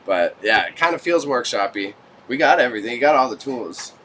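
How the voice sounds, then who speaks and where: A man's voice: mid-range voice, lively intonation, a little fast, pushed and bright, slight background noise. A Canadian man chatting casually with viewers as a livestream host, with slangy, joking banter. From Toronto, Canada. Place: small warehouse space stacked with goods.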